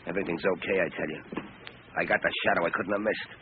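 Actor as fast-talking tough gangster boss. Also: male voice, sits low, steady tone, close to an everyday voice. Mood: irritated, cocky. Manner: gruff, slangy, barking orders. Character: tough, bossy male gangster, cocky and threatening. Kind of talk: 1930s-40s radio crime drama acting. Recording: tinny, noisy old radio recording.

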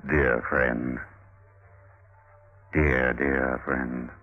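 A man's voice: extremely low pitch, little pitch movement, extremely slow, soft background music, full character voice. A man acting a scheming, jealous Renaissance nobleman in a 1950s radio drama, with florid, lingering delivery. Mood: sinister affection. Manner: florid, theatrical, lingering on words. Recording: muffled vintage radio recording.

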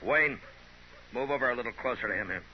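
An actor playing a stern, unflinching frontier marshal, terse and commanding, in 1950s radio western style. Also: male voice, mid-range voice, quick pace, natural voice. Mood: stern, controlled. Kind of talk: 1950s radio drama acting. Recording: noisy, dull 1950s radio recording.

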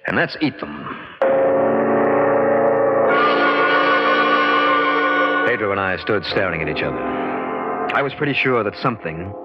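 An actor playing a level-headed adventurer recounting a horror tale in dramatic, suspenseful old-time radio style. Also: male voice, low-pitched, natural voice. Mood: puzzled, steady. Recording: muffled vintage radio recording. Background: dramatic background music.

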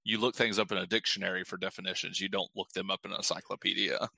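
Deep-voiced man in casual podcast chat. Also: little pitch movement, gravelly, sharp tone, no echo. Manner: rambling, frustrated, quick bursts with restarts. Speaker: podcast co-host.

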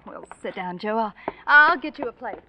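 Woman playing worried young daughter in old radio play, high gentle voice, bit hesitant, muffled recording. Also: lively intonation, normal speed, slight background noise, lightly acted. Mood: flustered. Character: gentle, concerned grown daughter. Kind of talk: 1940s radio acting. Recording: dull vintage radio recording.

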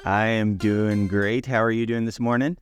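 A man's voice: fairly low pitch, steady tone, normal speed, soft background music. A man co-hosting a podcast in a casual, conversational style. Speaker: podcast co-host.

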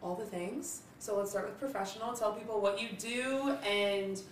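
A woman hosting a podcast, excitable and chatty, who laughs often and keeps saying "literally". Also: medium pitch, moderate pace, a little hiss or hum. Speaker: podcast host. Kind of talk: casual podcast interview. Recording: roomy, somewhat distant mic.

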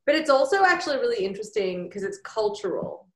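A woman's voice: fairly high pitch, big pitch swings, fast talker, a little hiss or hum. Australian woman chatting in an echoey room. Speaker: podcast guest, barbecue cook. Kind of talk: casual interview chat. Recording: roomy, echoing sound.

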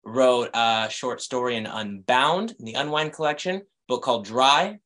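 A man who writes novels chats as a guest on a podcast. He has a mid-range voice and a relaxed, rambling way of talking, full of fillers. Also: medium pitch, moderate pace. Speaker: author guest. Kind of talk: casual interview chat.

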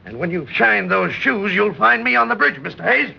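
Stern, echoey old-radio naval captain. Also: male voice, sits high, animated, moderate pace, creaky, full character voice. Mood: brisk, stern. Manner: clipped, bossy, issuing orders. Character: stern, fussy naval commanding officer, a captain. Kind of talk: 1960s radio drama acting. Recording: muffled vintage radio recording, echoey.

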